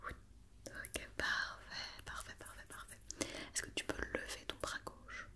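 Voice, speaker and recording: Female ASMR artist as examining doctor: fully whispered, breathy, patient and methodical, repeating gentle instructions and questions. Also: measured pace. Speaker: ASMR performer playing a doctor examining a robot. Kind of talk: whispered ASMR medical check-up roleplay.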